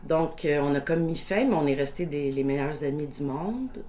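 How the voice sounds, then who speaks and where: Québécoise, 50s, low voice, reflective storyteller, light noise floor. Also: female voice, lively intonation, moderate pace, mellow. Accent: Québécois French. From Quebec. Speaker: documentary interviewee. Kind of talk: personal storytelling interview.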